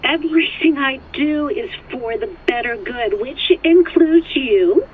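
Slow, imperious mother on a phone line. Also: high-pitched, expressive. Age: middle-aged. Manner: domineering, deliberate, curt commands. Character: controlling, narcissistic wealthy mother, imperious and needling. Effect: phone call filter. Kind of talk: audio drama acting. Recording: muffled phone line with background noise.

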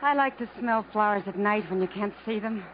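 A woman's voice: mid-range voice, brisk, lightly acted. An actress as a wistful, resigned woman, muffled radio. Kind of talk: 1950s radio drama acting. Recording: muffled, noisy 1950s radio broadcast.

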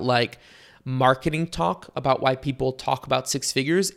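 A young man from Bulgaria hosting a solo podcast and talking to his listeners in a relaxed, conversational way. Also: mid-range voice, creaky. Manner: conversational, with fillers and asides to listeners. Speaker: podcast host. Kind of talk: casual solo podcast monologue.

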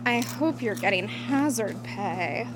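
Spoiled actress, early thirties: condescending, unhurried, slightly high voice, audio drama. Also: female voice, animated, music in the background, rough recording. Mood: mock sweet. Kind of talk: naturalistic audio drama acting.

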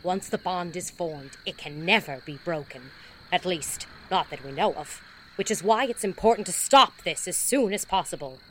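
A woman's voice: fairly low pitch, lively intonation, moderate pace, a bit bright, slight background noise, rough recording. A woman playing an earnest, fascinated paranormal investigator in an audio drama, explaining things like a documentary narrator. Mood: hushed wonder.

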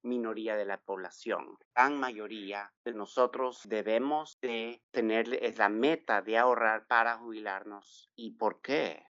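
A middle-aged man from El Salvador who grew up in Texas, a financial advisor guest on a podcast, explaining things in a measured way with frequent "eh" fillers. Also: mid-range voice, a bit bright, no echo, lo-fi sound. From El Salvador, raised in Texas. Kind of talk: podcast interview.

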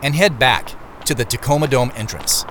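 An actor playing a weary, wry man who narrates his own secret quest in an audio drama, in a measured way. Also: mid-range voice, fairly bright tone, a little hiss or hum, close to an everyday voice. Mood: measured, watchful. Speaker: narrator and lead character. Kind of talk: first-person audio drama narration and dialogue.

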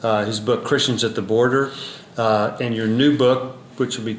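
A man's voice: medium pitch, fairly even intonation, normal speed. A man hosting a faith podcast, a professor who asks questions in a friendly, conversational way with some hesitations. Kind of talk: podcast interview. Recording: roomy sound with faint ambient noise.

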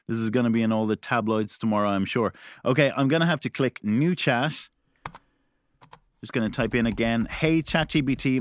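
A man's voice: medium pitch, no echo. Male podcast host, dry studio sound. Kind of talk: casual, playful interview.

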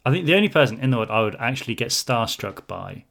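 A man's voice: medium pitch, very animated, quick pace, vocal fry, fairly bright tone. A man co-hosting a casual chat, enthusiastic and teasing as he answers viewer questions.

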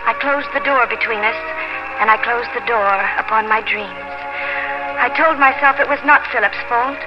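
Actress as wistful young heroine over loud music. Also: female voice, on the high side, normal speed, lo-fi sound, lightly acted. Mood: heartbroken. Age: young adult. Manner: wistful, composed, literary phrasing. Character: wistful, sincere young English colonial woman narrating. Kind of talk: 1950s radio drama narration and acting. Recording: band-limited 1950s radio, loud music.

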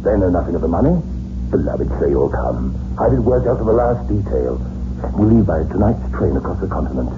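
An actor playing a lovestruck, world-weary spy in a theatrical, emotional 1960s radio suspense style. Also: male voice, low voice, very animated, a little fast. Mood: ardent, defiant. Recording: muffled old radio recording with music.

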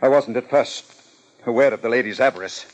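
A man's voice: mid-range voice, brisk, muffled recording, close to an everyday voice. An actor playing a pompous contest sponsor with murder in his past, in formal 1950s radio drama diction. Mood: resigned, confessing.

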